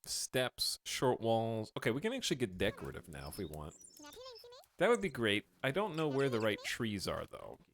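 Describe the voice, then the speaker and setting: Lively-voiced man rambling on a game stream. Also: on the low side, moderate pace. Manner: rambling, thinking aloud while playing. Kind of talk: casual game-stream chat. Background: game music.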